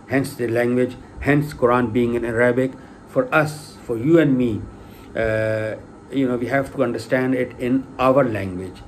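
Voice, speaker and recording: A man's voice: mid-range voice, a little mellow, a little hiss or hum. A middle-aged man, a native Urdu speaker, giving an informal spoken lecture in a hesitant way, with many fillers and restarts, then stressing key points with emphasis. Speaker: lay religious lecturer.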